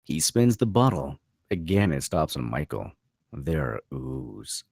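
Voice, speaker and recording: Very deep, gravelly male narrator. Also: sing-song, slow, a little mellow, dry recording, studio quality. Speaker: narrator reading stage directions. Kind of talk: scripted narration at a table read.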